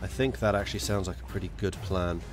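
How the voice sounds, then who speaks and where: A lower-voiced man streams a strategy game, his voice stuffy and congested from a cold. He chats with viewers and mutters his plans half to himself. Soft music plays faintly behind him. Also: steady tone, fast. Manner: chatty, muttering to himself while planning. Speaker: gaming streamer. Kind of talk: casual live gameplay commentary.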